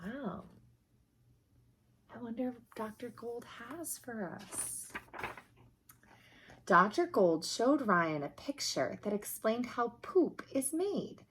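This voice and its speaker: A woman's voice: fairly high pitch, very animated, slow pace, pauses often, fairly bright tone, slight background noise, low-quality recording. A woman reading a picture book aloud to young children, expressively, with simple explanatory asides. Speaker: children's story reader.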